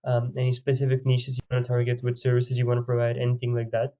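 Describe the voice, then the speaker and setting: A man's voice: mid-range voice, monotone, fast, mellow, dull audio. A man hosting a consulting call, talking loosely with many "you know"s and "like"s. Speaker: consultant host. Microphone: video call.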